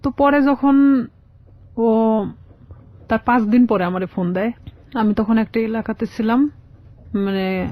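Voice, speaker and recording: A woman's voice: fairly high pitch, a little slow, long pauses. A woman telling her personal story conversationally as a radio guest, often quoting both sides of conversations. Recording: slightly dull lo-fi radio audio.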